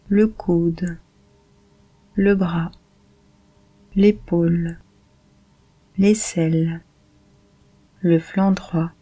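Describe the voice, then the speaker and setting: A woman guiding a yoga nidra meditation in a calm, measured, soothing manner. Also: lowish voice, rough recording. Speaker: meditation guide.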